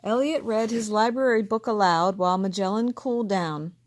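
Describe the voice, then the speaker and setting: A woman reading a children's picture book aloud, with gentle, expressive narration that voices each character. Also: medium pitch, moderate pace, slight background noise. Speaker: storybook reader.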